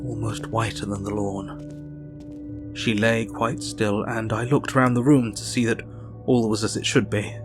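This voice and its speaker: An actor playing a melancholy Victorian doctor who narrates his diary in an audio drama, sombre, measured and weary. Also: fairly low pitch, natural voice.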